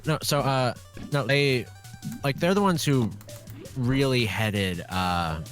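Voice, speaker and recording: A man's voice: medium pitch, slow. A man co-hosting a let's play, chatting casually and jokingly with some swearing. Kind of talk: casual gaming chat. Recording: game music behind the voice. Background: video game music.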